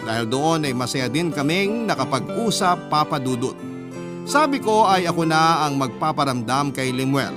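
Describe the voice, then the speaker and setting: A Filipino man reads a first-person story for a radio drama. His voice is somewhat high, and prominent guitar music plays loudly behind him. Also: lively intonation, normal speed. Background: loud guitar music bed.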